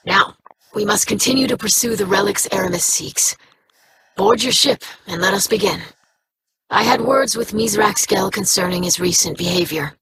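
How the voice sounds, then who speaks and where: A woman's voice: mid-range voice, normal speed, background noise, close to an everyday voice. An actress playing a composed woman leader who speaks firmly.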